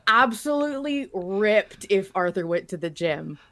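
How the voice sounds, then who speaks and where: A woman's voice: mid-range voice, animated, unhurried, fairly bright tone. A woman hosting a casual fan podcast, talking in playful bro slang with some swearing. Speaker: podcast host.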